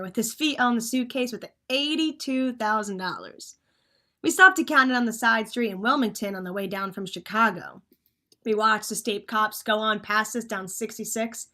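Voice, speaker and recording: A woman plays a bossy small-time crook in a vintage radio drama. The voice is fairly high, with clipped, slangy, hardboiled delivery and a cocky air. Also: pushed and bright. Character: cocky male crook Stanley, bossy schemer and hardboiled narrator.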